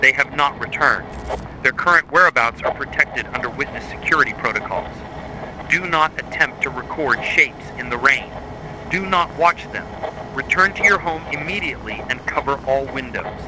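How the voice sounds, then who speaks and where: A man reading a scripted horror narration styled as an official emergency bulletin, in a grave, measured, authoritative way. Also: medium pitch, expressive, noisy background. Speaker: narrator of a mock emergency broadcast.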